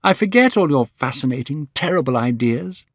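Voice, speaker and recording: A man voicing an eager, lovestruck young man in a spoken storybook, pitched high and gushing. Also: animated, moderate pace, no room sound, clean studio sound. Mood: excited, gushing.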